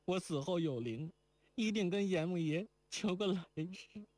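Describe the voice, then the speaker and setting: A male reader voicing a heartbroken young woman in tears, by turns pleading and sharp-tongued, in a storybook reading. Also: mid-range voice, big pitch swings, normal speed, breathy edge, no room sound, voice pushed up. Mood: tearful.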